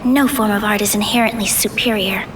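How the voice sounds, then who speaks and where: A woman voicing a gentle, encouraging young dancer in a video game, speaking in a reassuring way. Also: mid-range voice, fairly even intonation, fairly quick, background noise, natural voice. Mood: earnest. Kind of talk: game voice acting.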